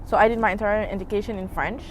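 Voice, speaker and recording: A woman's voice: medium pitch, steady tone, very fast, poor audio. A young woman from Senegal, Wolof her first language, chats easily and loosely, with fillers, on a podcast recorded outdoors. Faint traffic and city noise hum behind her voice. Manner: chatty, filler-heavy, laughing. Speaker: guest. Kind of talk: casual chat.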